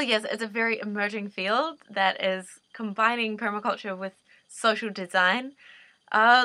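A woman's voice: mid-range voice, bright, forceful tone, dry recording. A young woman with a New Zealand accent, a permaculture designer giving an unscripted, earnest talk to her laptop camera in a dim room, often restarting as she searches for words. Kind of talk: unscripted explanatory monologue. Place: dim indoor room, seated. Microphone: laptop webcam at desk.

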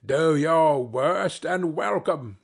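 A man's voice: a little high, slow pace, creaky, breathy edge, exaggerated voice. A man playing a brutish male carter in a storybook, grumbling, scornful and self-pitying. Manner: grumbling, lamenting.

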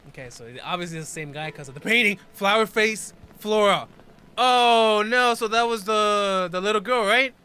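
A man's voice: high-pitched, moderate pace, bright, forceful tone, smooth. A man in his twenties reacting casually to a video, reading text aloud and commenting in informal, slangy speech.

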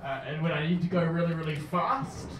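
Dry deadpan New Zealand man. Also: fairly high pitch, a little fast, reverberant, recorded from a distance, background noise. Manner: deadpan self-deprecating, dry dark humor. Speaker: stand-up comedian. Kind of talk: stand-up set and casual interview. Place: brick-walled comedy club cellar. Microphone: handheld mic. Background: crowd laughter.

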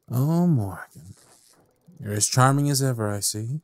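A man playing a menacing evil scientist in an audio drama, taunting with mock politeness in a rough, husky voice. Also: mid-range voice, reverberant, lightly acted. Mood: mockingly amused. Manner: mockingly polite, theatrical, taunting. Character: smug, menacing male evil scientist villain. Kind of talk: audio roleplay drama acting.

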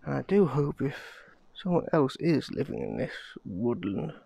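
A man in his thirties talking to a handheld phone camera outdoors in a pine forest, in a slow, muttering casual vlog and swearing under his breath. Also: medium pitch, wildly swooping pitch, creaky, warm tone, breathy edge, dry recording, dull audio. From Scotland. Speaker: vlogger.

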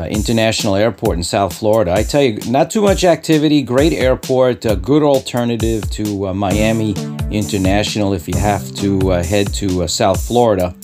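A man hosting a video in a casual narrating style, often pausing on drawn-out hesitations. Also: lowish voice. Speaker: video channel host. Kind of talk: casual vlog narration over plane-spotting footage.

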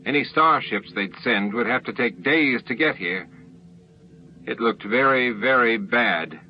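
A man's voice: mid-range voice, lively intonation, a little slow, muffled recording, a little hiss or hum. A man reading a novel as an audiobook narrator, in dramatic scripted narration. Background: faint music.

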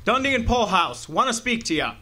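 Man acting a curt, bright-voiced suspicious cop. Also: very high-pitched, sing-song, a little fast, a little hiss or hum, exaggerated voice. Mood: demanding. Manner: curt, confrontational. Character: gruff, suspicious male police lieutenant, badgering. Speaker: actor. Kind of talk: hard-boiled radio drama acting.

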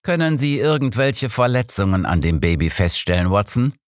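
A man voicing an elderly, courteous detective in an audiobook, with formal, measured questioning. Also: lowish voice, sing-song, no echo, clean studio sound, pitched above the performer's own voice. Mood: probing. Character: elderly male detective, courteous, probing, composed. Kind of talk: audiobook character dialogue.

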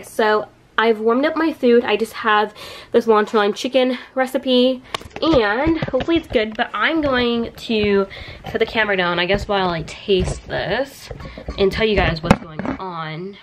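A young woman chatting casually to camera in a small dorm room, animated and quick with asides. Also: medium pitch, slight background noise. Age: young adult. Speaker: vlogger. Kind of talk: casual vlog chat to camera. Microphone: handheld camera close up.

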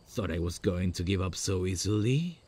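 A man playing a smug, infatuated stalker in a horror parody roleplay, coaxing and halting. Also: sits low, darkish tone, pitched above the performer's own voice. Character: creepy, infatuated male stalker, smugly coaxing.

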